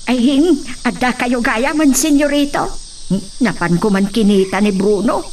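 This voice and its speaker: A woman's voice: on the high side, unhurried, a little mellow, close to an everyday voice. A woman with an Ilocano accent playing a deferential older household servant in a radio drama. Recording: slightly distant, noisy, crickets behind.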